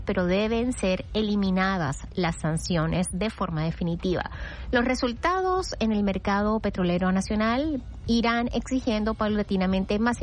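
A Venezuelan woman hosting and producing a radio program, signing off with a formal, measured delivery. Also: mid-range voice. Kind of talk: closing a radio talk program.

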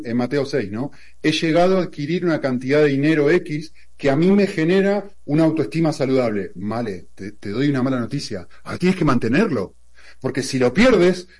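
A man with an Argentine accent teaches in a relaxed, conversational way. He leans on examples and rhetorical questions to build his point. His voice sits a little higher than most men's. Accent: Argentine Spanish.

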